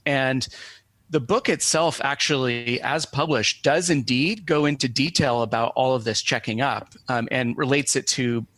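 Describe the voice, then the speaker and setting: A man's voice: mid-range voice, fairly bright tone, no room sound. A man speaking as an academic interview guest, explaining things in a scholarly, discursive way with frequent self-corrections.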